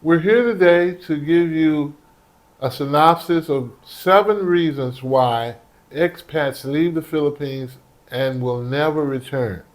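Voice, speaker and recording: A man's voice: on the high side, slow. A man co-hosting a vlog and explaining points to viewers. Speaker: vlog co-host.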